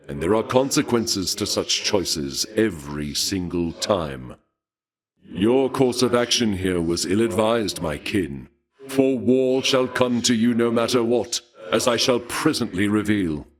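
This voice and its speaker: An actor playing a suave, courtly and bloodthirsty god of war in a video game, speaking in a formal, archaic, measured way with polite menace. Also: male voice, on the low side. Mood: cold, threatening. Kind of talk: theatrical scripted video game dialogue.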